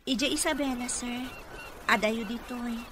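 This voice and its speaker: A woman's voice: on the high side, moderate pace, a bit bright, lightly acted. A woman playing a timid, dutiful young office employee, hesitant and deferential, with an Ilocano accent in radio drama style. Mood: hesitant, polite. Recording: noisy radio drama recording.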